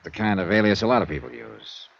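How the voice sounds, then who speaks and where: World-weary radio investigator, wry, laconic, low, dull old recording. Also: male voice, big pitch swings, quick pace, vocal fry, exaggerated voice. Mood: subdued. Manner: wry, laconic first-person narration. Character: hard-boiled male insurance investigator, wry, world-weary. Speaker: narrator and lead character. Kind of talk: 1950s radio drama acting.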